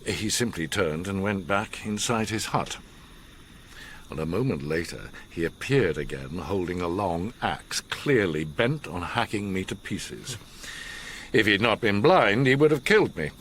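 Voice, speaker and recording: An actor playing an older, learned gentleman who recounts his past gravely, with measured, theatrical pauses, in a radio drama. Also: fairly low pitch, lively intonation, slight background noise. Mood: grave, dramatic.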